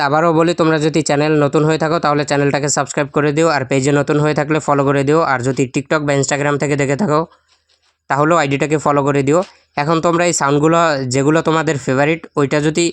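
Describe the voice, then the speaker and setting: A man's voice: a little high, level tone, quick pace, clean tone, no echo. A man narrating a step-by-step tutorial, addressing his viewers directly as host. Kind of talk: instructional screen-recording voice-over.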